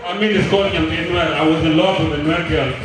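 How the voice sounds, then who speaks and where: A man's voice: on the high side, fairly even intonation, quick pace, very noisy. A man from South Sudan, a singer at a community event, talking and singing loosely to the crowd on stage. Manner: shouting to a crowd, loose and hyped. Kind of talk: live stage talk and singing. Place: large echoey hall at a live event. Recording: echoey hall from far off, loud music and crowd.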